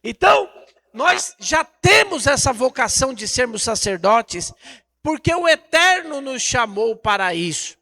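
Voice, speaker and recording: A man's voice: extremely high pitch, very animated, a little slow, bright, forceful tone. A Brazilian man preaching a Bible lesson in an emphatic, didactic way, repeating phrases and posing rhetorical questions. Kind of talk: Bible teaching sermon.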